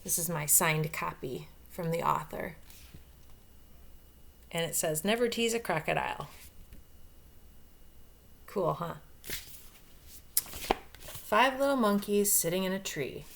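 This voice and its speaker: A woman's voice: lowish voice, takes it slowly, vocal fry, pauses often, a bit bright. A woman reading a picture book aloud to young children, expressively, with explanations and questions along the way. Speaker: storybook reader.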